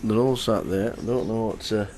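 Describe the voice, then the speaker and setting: A man's voice: on the low side, normal speed, a little mellow, soft background music. A man chatting casually as a live poker commentator, playful and repetitive. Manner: chatty, playful, repeats himself.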